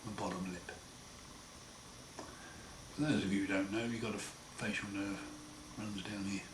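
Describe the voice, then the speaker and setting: A man with a croaky, hoarse voice after throat surgery, talking slowly and wryly in a casual home video diary. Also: lowish voice, steady tone. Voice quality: hoarse, partly breathy. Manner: slow, wry, plain-spoken, often pausing. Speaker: patient sharing his recovery. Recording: roomy echo, far mic, noisy.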